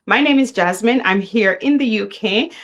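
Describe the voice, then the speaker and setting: A woman's voice: fairly high pitch, normal speed, fairly bright tone, lo-fi sound. Middle-aged woman hosting a livestream. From Jamaica. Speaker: host. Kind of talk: live online business presentation.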